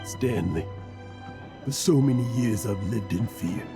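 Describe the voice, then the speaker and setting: A man's voice: fairly low pitch, unhurried, gravelly. A man playing an aging, boastful adventurer haunted by guilt, in a dramatic video game performance. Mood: anguished, mournful. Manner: dramatic, emotional delivery. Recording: music behind the voice. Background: background music.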